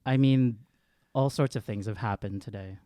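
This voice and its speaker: A man with a dark, relaxed, fairly low voice plays a tabletop game. He improvises dialogue conversationally, recorded very dry. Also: moderate pace, clean tone, dry recording. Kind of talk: casual improvised in-character dialogue.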